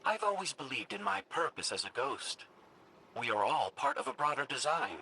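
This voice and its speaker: A small robot companion from a sci-fi game. It is very sharp and bright with a creaky edge, animated and earnest, over faint noise. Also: male voice, medium pitch, moderate pace, exaggerated voice, poor audio. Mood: earnest, awed. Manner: earnest, reverent. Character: small earnest male robotic companion spirit, devoutly purposeful. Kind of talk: cinematic video game dialogue.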